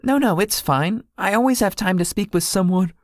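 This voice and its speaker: A man playing a gracious young celebrity tech guru in a visual novel, speaking politely and formally in a measured way. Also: high voice, no room sound, clean studio sound, natural voice. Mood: courteous. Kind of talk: visual novel character acting.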